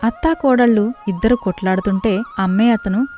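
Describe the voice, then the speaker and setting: A woman's voice: medium pitch, moderate pace, over music. A woman narrator reading a scripted story.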